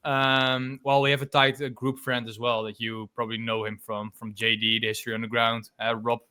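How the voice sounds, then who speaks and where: A man's voice: medium pitch, little pitch movement, fairly quick, bright, forceful tone, smooth. A man in his twenties from the Netherlands with a Dutch accent, co-hosting a casual history podcast in a loose, chatty way with many fillers. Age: 20s. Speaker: podcast co-host. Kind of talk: casual chat.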